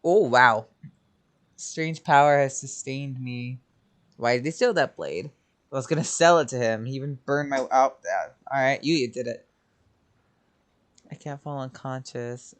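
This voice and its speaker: A man's voice: mid-range voice, measured pace, long pauses. A man giving casual, offhand commentary on a video game and reading its text aloud. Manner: offhand, informal reactions.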